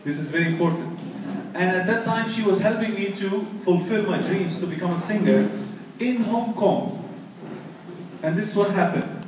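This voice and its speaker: A man tells his story as a preacher, leaning on repeated phrases for emphasis. His fairly high voice carries through a very echoey hall, caught from some distance with faint noise behind. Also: normal speed. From Egypt. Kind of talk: personal testimony talk to an audience. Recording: echoey hall, picked up from far off.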